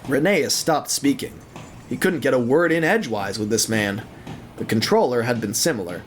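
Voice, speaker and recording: Animated male storyteller, lively pitch. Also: moderate pace, a little hiss or hum. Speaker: narrator. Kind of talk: dramatic scripted storybook narration.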